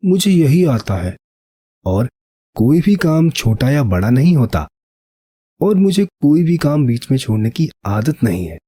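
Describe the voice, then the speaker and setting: A man plays a poor young village painter in a cartoon tale, earnest and devoted. His voice is mellow and a little husky at a middle pitch, recorded dry. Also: very animated, unhurried, no echo. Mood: determined.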